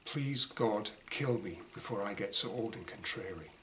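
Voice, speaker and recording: A man reads a first-person diary story as its author, with a bright, forward voice. The delivery is wry and exasperated, shaped like a performed monologue. Some background noise sits behind him. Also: fairly low pitch, animated, normal speed. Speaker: author reading own short story.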